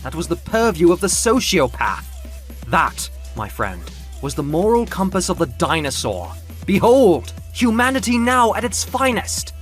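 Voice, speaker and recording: A man playing an impassioned, high-pitched, proud winged muse. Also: very animated, unhurried, soft background music. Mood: scornful. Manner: theatrical, grandiose, declamatory. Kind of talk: audio comic book acting.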